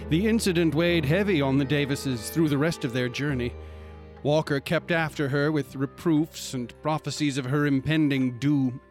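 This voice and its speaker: A man acts as the storyteller of an eerie frontier radio drama. His fairly high male voice is measured and literary, with faint music underneath. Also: lightly acted. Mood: grave, storytelling. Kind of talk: scripted dramatic narration.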